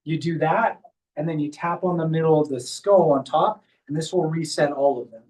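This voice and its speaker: A man's voice: on the high side, moderate pace, a little mellow, distant mic, rough recording. A man, a chiropractor, giving a hands-on demonstration workshop and explaining each step as he guides a volunteer. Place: clinic workshop room.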